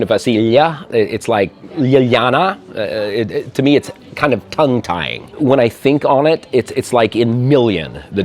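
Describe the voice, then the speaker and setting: A middle-aged American man with a Midwestern accent chats easily as an interview guest. His voice sits at an ordinary pitch, and he pokes fun at himself and laughs a lot as he talks. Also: a little mellow. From Midwestern USA. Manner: chatty, self-deprecating, laughs often. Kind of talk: casual interview conversation.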